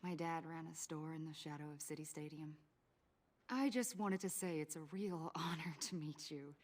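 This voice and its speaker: An actress playing a shrewd, probing government agent in a video game, with a husky, breathy American voice. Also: female voice, low-pitched, fairly even intonation, normal speed. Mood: curious, probing.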